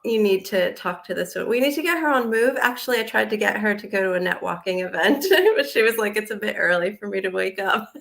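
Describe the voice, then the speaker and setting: An American woman in her forties joins an interview over a video call. She talks in an animated, chatty way. She laughs often and fills her sentences with likes and ums. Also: mid-range voice, brisk, gravelly. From United States. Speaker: guest. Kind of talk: casual interview chat.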